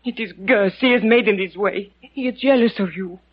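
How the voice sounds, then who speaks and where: A woman's voice: mid-range voice, normal speed, natural voice. An actress playing a devoted young bayou woman, imploring urgently in formal phrases in an old radio drama. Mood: pleading. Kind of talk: 1940s-50s radio drama acting. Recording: muffled noisy old radio recording.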